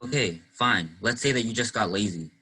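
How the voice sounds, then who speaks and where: A man's voice: fairly low pitch, normal speed, bright, forceful tone, breathy edge, rough recording. A young man acting in a play as an earnest, inquisitive teenage boy who likes to play detective. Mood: probing, serious. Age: young adult. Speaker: student actor in a school play. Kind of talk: one-act play acting.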